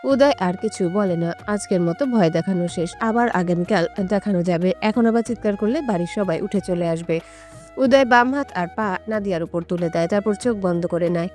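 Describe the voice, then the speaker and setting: A woman's voice: medium pitch, brisk, a little mellow. A woman reading a scripted romantic story as an audio story narrator. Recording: music under the voice. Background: background music.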